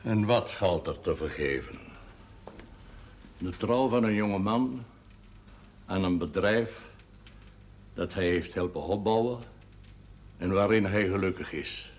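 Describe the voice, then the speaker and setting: An actor playing a seventy-six-year-old priest, speaking slowly and solemnly in a husky voice, in a 1970s television drama. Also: male voice, sits low. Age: 70s. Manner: slow, measured, solemn delivery. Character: old male priest, wise and melancholy.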